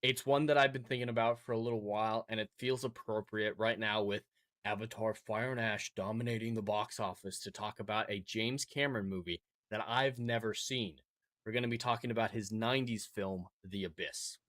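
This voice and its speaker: A man co-hosting a movie review show, speaking in a measured, earnest way with no distinct accent. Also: fairly low pitch, steady tone, a bit bright, dry recording. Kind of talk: casual spoken movie review.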